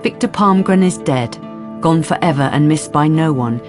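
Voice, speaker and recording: An actress as the sober narrator of a radio crime drama, reading in a measured, wry way. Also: female voice, low voice, animated. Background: music.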